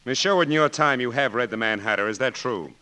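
An actor playing an eccentric, sardonic magazine editor in a 1940s radio comedy, clipped, deadpan and interrogating. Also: male voice, medium pitch, fast talker, smooth, close to an everyday voice. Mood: sardonic, brisk. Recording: old 1940s radio broadcast.

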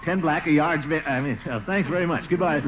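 An actor playing a pompous, flustered middle-aged schemer in a 1940s radio comedy, blustering and self-satisfied. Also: male voice, on the high side, a little fast, highs cut off, natural voice. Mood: flustered, scheming. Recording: scratchy, band-limited 1940s radio broadcast.

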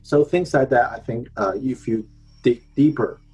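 A man whose first language is Chinese speaks as a guest on an online video call, talking in halting phrases with many fillers and restarts. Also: mid-range voice, dark tone, husky. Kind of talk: online talk answering a host.

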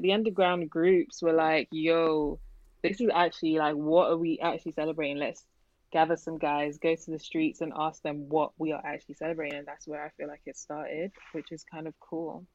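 A woman's voice: low voice, darkish tone, dry recording, highs cut off. A young woman from Nigeria co-hosting a podcast, chatting casually and animatedly with many "like"s. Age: young adult. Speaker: podcast co-host. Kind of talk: casual chat. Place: London.

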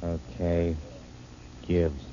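A man's voice: low voice, little pitch movement, very slow, mellow, slight background noise. An actor playing Mike, a hired gunman who bluntly demands his payment, in a 1940s radio drama. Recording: dull 1940s radio recording.

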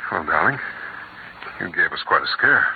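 A man's voice: low voice, animated, normal speed, vocal fry. An actor playing a devoted husband and doting father with earnest, emotive delivery in an old radio drama. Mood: reassuring. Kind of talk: 1940s radio drama acting. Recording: muffled, lightly noisy old radio recording.

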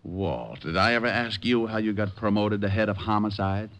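An actor playing a suave, wisecracking private detective in a vintage radio drama, narrating and talking with smooth, wry humor. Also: male voice, fairly low pitch, moderate pace, fairly bright tone. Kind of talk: 1940s-50s radio detective acting and narration. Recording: old radio drama recording with music.

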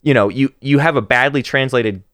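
A man co-hosting a casual book podcast, talking about and reading poetry with animated emphasis and halting restarts. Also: medium pitch, no room sound, studio quality. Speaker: podcast co-host.

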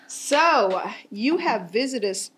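A woman's voice: fairly high pitch, lively intonation, slow, a bit bright. A woman chatting casually as co-host, playful and full of encouraging interjections. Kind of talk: casual chat. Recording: clearly noisy background.

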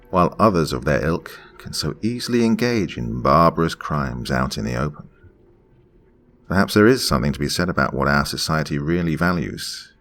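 Male narrator in his forties, deep rough voice with a lively lilt, slow reflective read. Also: a little hiss or hum. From Pennsylvania. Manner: measured, reflective storytelling. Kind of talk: scripted first-person narration.

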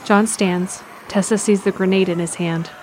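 A woman's voice: mid-range voice, steady tone, normal speed, slight background noise, poor audio. A woman reading scripted present-tense narration for an audio drama.

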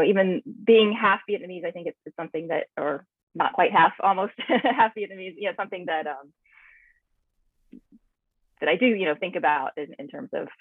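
A woman's voice: on the low side, slightly rough, no room sound. Thoughtful woman on a tinny line. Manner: thoughtful, searching for words. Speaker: naturalist and author, interview guest. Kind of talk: reflective interview answers.

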